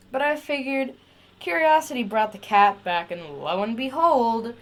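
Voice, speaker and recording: A woman playing an excitable, scheming inventor in an audio drama, theatrically talking to herself as her moods swing. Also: mid-range voice, slight background noise, lightly acted. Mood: boastful storytelling.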